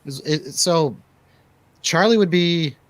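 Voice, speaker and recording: A man's voice: fairly high pitch, takes it slowly, dry recording. A man hosting a casual movie review chat, speaking in a conversational, opinionated way. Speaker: radio show host.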